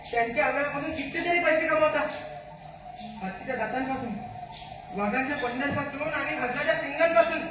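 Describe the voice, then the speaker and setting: A man acting a fierce, vengeful jungle animal in a stage play, declaiming accusations and rhetorical questions. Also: very high voice. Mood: outraged. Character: vengeful, fierce jungle animal who wants captive humans killed. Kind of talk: Marathi one-act stage play acting. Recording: echoey hall from far off, noisy.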